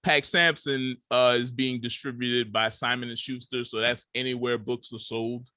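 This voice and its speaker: A man on a panel, introducing his comics work, quick and halting with restarts. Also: medium pitch, fairly even intonation, pushed and bright, no room sound. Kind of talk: panel talk on a video call.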